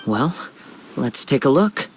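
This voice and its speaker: Calm, measured young samurai. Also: male voice, medium pitch, slight background noise. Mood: composed, analytical. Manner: measured, formal, composed. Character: calm, thoughtful young wandering samurai, courteous and observant. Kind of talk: video game dialogue.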